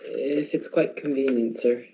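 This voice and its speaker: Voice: mid-range voice, fairly even intonation, unhurried, warm tone, dull audio, close to an everyday voice. A performer playing a timid, deferential male clerk in a radio drama, meek and stammering. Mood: nervous, deferential.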